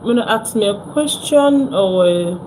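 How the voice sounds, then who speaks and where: A woman's voice: mid-range voice, expressive, a little slow, a little mellow, slight background noise, poor audio. A young Nigerian woman with a Nigerian accent, hosting a casual phone live stream, chatting with viewers and repeating herself.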